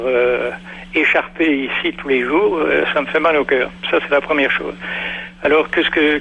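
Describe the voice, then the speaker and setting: Male caller, husky and lecturing, haughty tone, heard over a dull lo-fi radio line. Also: on the high side. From Europe. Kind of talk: phone-in radio debate.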